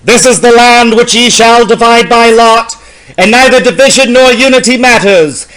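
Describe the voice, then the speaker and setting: A man giving a dramatic poetry reading in a solemn, deliberate, theatrical and incantatory manner. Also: very high voice, fairly even intonation, a bit bright, poor audio. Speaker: poetry reader.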